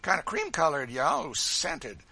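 Audiobook role: huge teasing trickster, high and forceful, voluble, broad drawling folk dialect. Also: male voice, huge pitch swings, a little slow, vocal fry, breathy edge, full character voice. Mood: gleeful teasing. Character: big boisterous mocking man, voluble trickster. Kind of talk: audiobook character voice.

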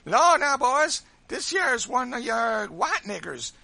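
A man voicing a big, lively, talkative prankster in a storybook, teasing in exclamatory rustic dialect. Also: very high-pitched, slow, gravelly, dry recording, voice pushed up, exaggerated voice. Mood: mocking glee. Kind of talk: dramatized storybook reading.

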